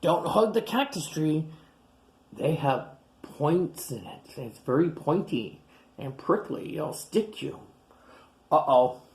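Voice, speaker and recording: A woman reading a picture book aloud to children, animated, gasping and exclaiming at the pictures. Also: low-pitched, slow, low-quality recording. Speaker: storyteller.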